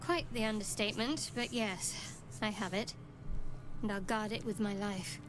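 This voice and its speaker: An actress playing a guarded, devout cult cleric in a video game, cool, wry and resolute in manner. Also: female voice, mid-range voice, steady tone, moderate pace, lightly acted. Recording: game audio with clearly noisy background.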